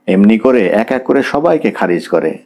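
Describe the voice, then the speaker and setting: A man's voice: fairly low pitch, lively intonation, normal speed, creaky, darkish tone. A man reading a scripted literary story as an audiobook narrator.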